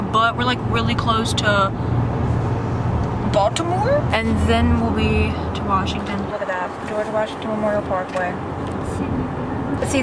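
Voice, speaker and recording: A woman's voice: fairly high pitch, very noisy. Chatty teen girl vlogging in a car. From Mississippi. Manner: chatty, upbeat, teasing family. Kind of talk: casual road trip vlog. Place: inside a moving car. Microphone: handheld phone camera at arm's length.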